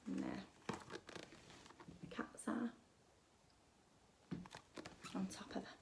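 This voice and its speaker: Female primary-teacher storyteller, medium pitch, expressive and emphatic reading for children, recorded in an echoey room. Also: drawn-out words, vocal fry, slight background noise. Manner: dramatic, emphatic delivery.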